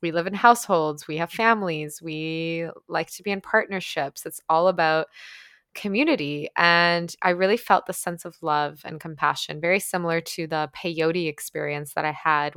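A woman from Ukraine, raised speaking Ukrainian and Russian, talks in a casual, earnest, effusive storytelling style. Her voice sits a little low and is smooth and clear. The recording is close and dry, like a personal vlog. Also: steady tone, moderate pace, fairly bright tone, no echo. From Ukraine, later moved to Canada. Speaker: vlogger sharing personal experiences. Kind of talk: casual reflective monologue storytelling.

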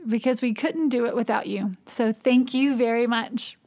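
A woman's voice: on the high side, normal speed, a little mellow. A woman hosting a casual podcast, chatty and teasing, often laughing as she talks. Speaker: podcast host and co-founder.

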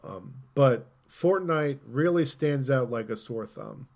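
A man hosting a casual gaming podcast, talking in an opinionated way and thinking out loud as he goes. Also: medium pitch, animated, slow, creaky. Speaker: podcast host.

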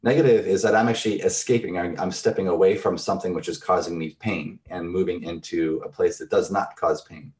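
A man's voice: sits low, fairly even intonation, brisk, few pauses, a little mellow. A middle-aged man, a therapist, explaining concepts in a thoughtful, conversational way with everyday examples.

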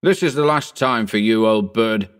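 A man's voice: on the low side, big pitch swings, normal speed, a bit bright. A man voicing a coarse, blustering male saloon keeper in an audiobook reading. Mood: furious. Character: burly male saloon keeper, rough and bossy.

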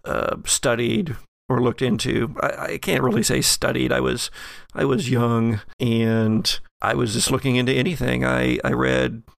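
A man acts out an earnest, bookish occult scholar in a horror audio game. His mid-pitched voice is heavy with creak, and he speaks haltingly and modestly in a dry, close recording. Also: steady tone, no echo. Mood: hesitant, confiding. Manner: halting, deliberate, self-effacing. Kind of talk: improvised in-character actual-play roleplay.

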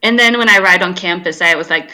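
A woman's voice: lowish voice, quick pace. A woman, telling her story as an interview guest, laughing often and poking fun at herself. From Sweden. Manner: laughs often, animated and self-deprecating storytelling. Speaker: guest, arts nonprofit director. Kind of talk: casual interview chat.

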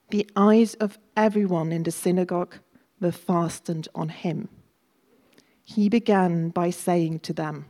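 A woman gives a formal scripture reading in a chapel service. Her voice is low and mellow, and her delivery is very slow and deliberate. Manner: measured and deliberate. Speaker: scripture reader.

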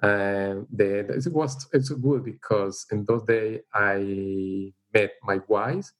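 A middle-aged man from El Salvador with a Salvadoran Spanish accent, speaking English as a second language in a casual interview, halting and unhurried, with frequent fillers. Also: fairly low pitch, fairly even intonation. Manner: unhurried, halting, with frequent "you know" and light laughs. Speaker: interviewee, teacher. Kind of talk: casual life-story interview.

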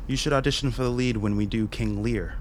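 An actor playing a steady army major who speaks in a measured way with dry, teasing remarks. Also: male voice, medium pitch, a little hiss or hum, natural voice.

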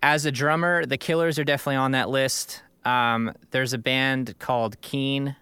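A man's voice: medium pitch, fairly even intonation, normal speed, bright, forceful tone, smooth, no echo. A man co-hosting a podcast, chatting casually as a musician. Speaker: podcast co-host, drummer and songwriter. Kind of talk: casual chat about favorite bands.

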